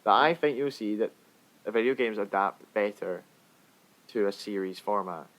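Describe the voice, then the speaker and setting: A man's voice: on the low side, normal speed, a bit bright, rough recording. A man co-hosting a podcast, talking casually in an informal discussion. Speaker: podcast co-host. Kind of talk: casual podcast chat about games and films. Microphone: remote online call.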